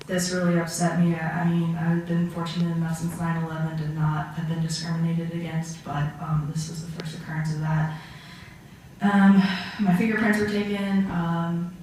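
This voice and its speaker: In a roomy, distant recording with faint noise, a woman plays a humble man of forty-seven testifying in court. The delivery is low for a woman and halting, with many ums and little melody. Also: darkish tone, natural voice. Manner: halting, hesitant, frequent ums and pauses. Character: humble 47-year-old Syrian immigrant man, painting contractor on witness stand. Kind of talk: amateur mock trial testimony. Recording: echoey room, far from mic, faint noise.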